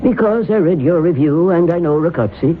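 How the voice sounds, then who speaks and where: A man's voice: on the high side, lively intonation, fairly quick, natural voice. An actor playing a scholarly male professor of occult lore, grave and a little hesitant, in an old radio drama. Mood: courteous. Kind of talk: 1970s radio drama acting. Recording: tinny lo-fi old radio broadcast with faint hiss.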